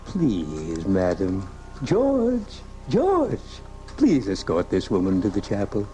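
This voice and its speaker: An actor playing a flustered, officious mortician in a live radio drama, formal, fussy and exasperated. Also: male voice, lowish voice, huge pitch swings, slow, mellow, full character voice. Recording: home-taped 1980s radio broadcast, music behind.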